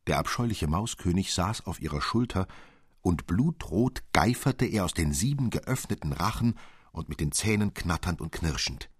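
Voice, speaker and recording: A man narrating a literary audiobook expressively, in a measured, careful storytelling manner. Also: sits low, expressive, slightly rough, dry recording, studio quality.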